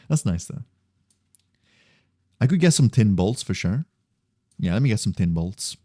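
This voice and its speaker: A man's voice: lowish voice, big pitch swings, slow, warm tone, dry recording. A man chatting casually on a game livestream, thinking aloud in a rambling, repetitive way. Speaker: game streamer. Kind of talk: casual livestream chat while gaming.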